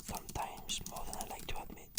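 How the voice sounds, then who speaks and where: Whispering woman in an ASMR roleplay. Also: brisk. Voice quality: breathy whisper. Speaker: therapy client in roleplay. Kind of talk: whispered ASMR, scripted answers.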